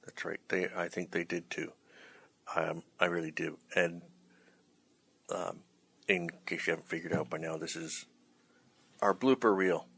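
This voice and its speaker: A man hosting in a casual chat, talking in a halting, hesitant way. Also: low-pitched, fairly even intonation, gravelly, a bit bright, breathy edge. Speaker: host, writer-director of the play. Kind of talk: casual chat introducing bloopers.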